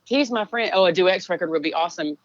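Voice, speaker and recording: Texan woman, low voice, laid-back rambling talker, dry muffled recording. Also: fast talker, dry recording. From Texas. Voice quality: low singing voice. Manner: relaxed, rambling with many fillers. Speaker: songwriter guest. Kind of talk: casual interview chat.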